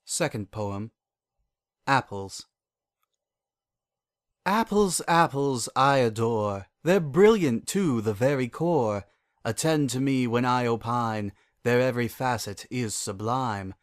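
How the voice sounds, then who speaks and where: Male narrator, medium pitch, poetry recitation, close dry recording. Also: lively intonation, slow pace, no room sound.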